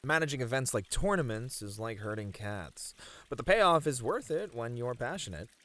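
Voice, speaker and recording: A man's voice: medium pitch, big pitch swings, normal speed, a bit bright. A man playing a friendly, slightly flustered gaming tournament manager in an ASMR roleplay, chatting casually with some hesitation. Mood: animated, candid. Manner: casual, chatty, hesitant filler-laden banter.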